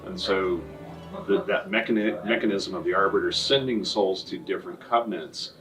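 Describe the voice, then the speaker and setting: Deep-voiced man, distant from the mic. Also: moderate pace. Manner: hesitant, searching for words. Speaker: interview guest. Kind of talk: unscripted interview explanation. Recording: distant mic, music behind. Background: music.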